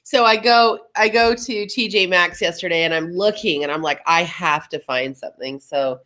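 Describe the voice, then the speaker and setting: Low-voiced middle-aged woman with allergies, chatting. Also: moderate pace, sharp tone. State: voice lowered by allergies. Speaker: podcast co-host. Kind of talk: casual chat.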